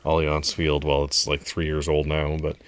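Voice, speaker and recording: Deep-voiced relaxed man chatting. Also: moderate pace. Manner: relaxed, chatty, lots of fillers and laughs. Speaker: podcast host and parent. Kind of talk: casual family podcast chat.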